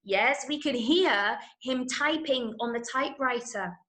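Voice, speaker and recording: An English woman teaches children online in a fairly high voice. She speaks very slowly, with encouraging emphasis and careful explanation. The room around her is echoey. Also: sharp tone. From Manchester, England. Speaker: drama workshop teacher. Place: indoor room, online call.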